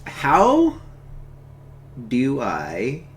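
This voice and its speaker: A man's voice: medium pitch, wildly swooping pitch, extremely slow, a little hiss or hum, poor audio. A man hosting a film watch-along stream, talking in a casual, jokey, informal way. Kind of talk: casual chat and film commentary.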